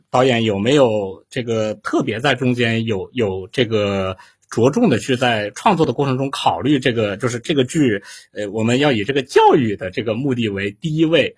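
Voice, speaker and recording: A man in a casual livestream discussion, thinking aloud in a halting way and checking in as he asks and explains. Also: mid-range voice, husky.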